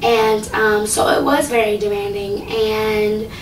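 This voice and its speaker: A young woman talking casually to camera about a singing contest. She rambles with lots of fillers, and her voice is low and slightly raspy. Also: little pitch movement, slow, far from the mic, slight background noise. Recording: echoey room, voice from off the mic.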